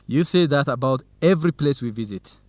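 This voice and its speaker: A man acting as a young Ethiopian researcher in a radio drama, earnest and modest. His mid-pitched voice moves up and down a lot, and the sound is dry. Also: animated, moderate pace, no room sound, lightly acted. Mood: amazed, grateful.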